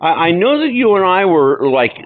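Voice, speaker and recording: Lively sixty-year-old man, tinny phone voice. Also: sits high, normal speed. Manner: informal, emphatic, buddy-like slang. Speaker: podcast host. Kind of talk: casual phone chat. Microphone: telephone. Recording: dry, tinny phone call.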